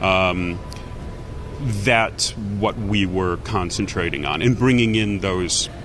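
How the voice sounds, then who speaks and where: A man giving an unscripted interview answer, halting and reflective. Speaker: screenwriter being interviewed. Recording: noisy interview with outdoor rumble.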